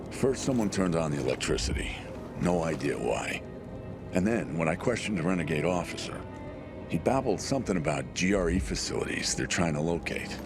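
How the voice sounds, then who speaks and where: An actor playing a gruff, loyal military lieutenant who talks bluntly and rallies his squad in a video game cutscene. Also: sits low. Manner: commanding, comradely, blunt and profane.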